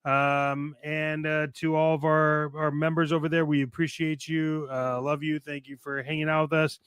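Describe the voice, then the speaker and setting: A man's voice: a little high, flat delivery, moderate pace, a bit bright, clean tone, no room sound. A man hosting a podcast in a casual, chatty style, with a playful, mock-grand manner. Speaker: podcast host. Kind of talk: casual chat hosting a show.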